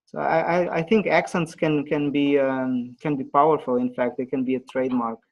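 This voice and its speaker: A man presenting an online webinar on pitching, pausing often with fillers and restarts, heard over a video call. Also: steady tone, dull audio. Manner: halting, many fillers and restarts.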